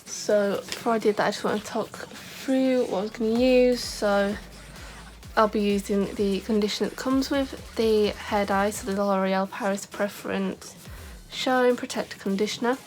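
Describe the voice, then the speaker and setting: A woman's voice: mid-range voice, fairly even intonation, moderate pace, faint music behind. A young woman vlogging in a casual, rambling way, full of "like" fillers, with a scratchy voice today. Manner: chatty, rambling, many "like" fillers. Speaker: beauty vlogger. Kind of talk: casual chat to camera.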